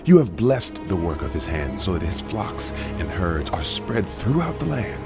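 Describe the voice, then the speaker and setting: An actor playing Satan as a sly, mocking, menacing spirit in a dramatized audio Bible, taunting and insinuating. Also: male voice, deep voice, very animated, normal speed, gravelly, over loud music, exaggerated voice.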